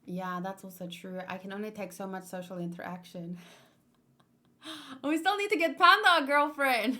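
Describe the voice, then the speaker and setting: A woman livestreaming in a casual, expressive and playful way, chatting and commenting as she reads aloud. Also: medium pitch, normal speed. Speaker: streamer hosting a manga read-along.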